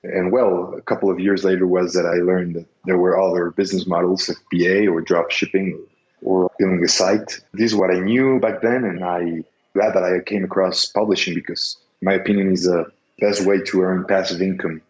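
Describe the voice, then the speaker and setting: A young man from Mexico speaking English with a Mexican Spanish accent as a podcast guest, careful and halting, recorded on a dull, echoey line. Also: low voice, level tone, a little mellow. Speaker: guest, business seller.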